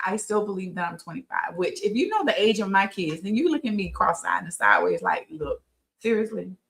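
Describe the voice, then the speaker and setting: A woman's voice: medium pitch, creaky, a bit bright. A fifty-year-old woman giving an informal educational talk as a host, conversational and emphatic, repeating phrases for stress. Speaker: host of a women's health talk show.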